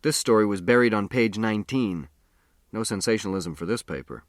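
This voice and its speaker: A man's voice: fairly low pitch, a little fast, no room sound, clean studio sound, natural voice. Man voicing a hearty, teasing friend. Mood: wry, amused.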